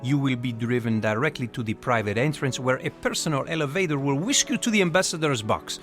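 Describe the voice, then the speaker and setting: An actor playing an obsequious, grandiose hotel manager in a radio drama, formal and flourishing. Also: male voice, mid-range voice, expressive, fairly quick, a bit bright, faint music behind, lightly acted. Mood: grand, fawning.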